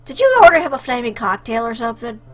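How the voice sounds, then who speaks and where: A woman's voice: on the high side, very animated, quick pace. A woman giving casual, jokey commentary as she plays a game, reading choices aloud in a playful, theatrical, teasing way.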